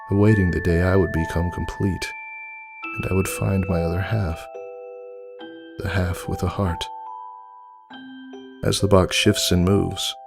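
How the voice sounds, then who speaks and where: A man voicing a wistful, devoted old stitched toy, narrating a dramatic fan fiction story in an earnest, measured way. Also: low-pitched, creaky. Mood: sorrowful, resigned, then quietly hopeful. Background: music under the voice.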